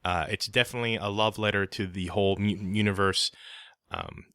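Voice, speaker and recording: Low, bright-voiced man, casual podcast co-host. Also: fairly even intonation, fairly quick, clean tone, dry recording, clean studio sound. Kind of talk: casual review chat.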